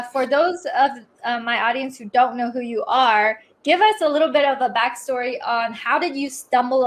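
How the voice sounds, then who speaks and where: A woman's voice: fairly high pitch, fairly bright tone. A woman hosting a live-streamed interview show. She talks in an upbeat, chatty way with frequent fillers. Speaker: host of a live online show.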